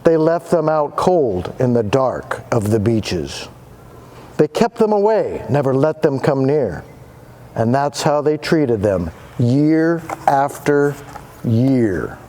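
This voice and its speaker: A man's voice: medium pitch, slow, slight background noise. A male teacher giving a dramatic read-aloud of a picture book to students. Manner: animated, dramatic reading voice.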